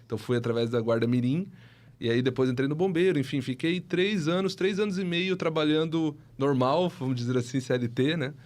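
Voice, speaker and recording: A man's voice: a little high, brisk, darkish tone. Man, explanatory and conversational. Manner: explanatory, frequently adds tag questions. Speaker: guest, tech entrepreneur and CEO. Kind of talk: conversational podcast interview storytelling.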